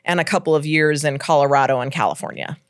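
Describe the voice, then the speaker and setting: A woman's voice: low-pitched, fast, no room sound, studio quality. A woman from Hawaii hosting a podcast, chatting casually, giving short affirmations and laughing readily. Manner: warm listener, brief affirmations, laughs readily. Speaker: podcast host. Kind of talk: casual interview chat.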